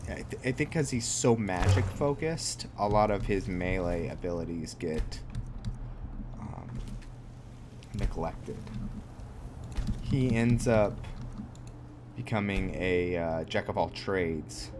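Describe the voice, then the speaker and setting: A man's voice: deep voice, takes it slowly, pauses often, background noise. A man giving casual let's play commentary, thinking aloud in short, musing phrases. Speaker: gamer narrating own roleplay playthrough. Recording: loud music behind the voice.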